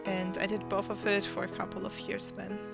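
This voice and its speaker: A woman's voice: medium pitch, monotone, brisk, gravelly. Teen girl narrating her life, russian first language, lots of restarts, music playing underneath. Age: teens. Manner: hesitant, many restarts and fillers. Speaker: channel host. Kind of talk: draw my life voice-over narration.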